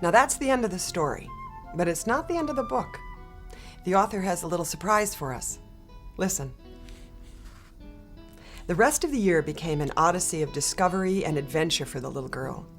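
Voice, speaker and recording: A woman reading a children's picture book aloud in a gentle, expressive storytelling manner. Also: on the low side, moderate pace, pauses often. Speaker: storybook reader. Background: soft guitar music.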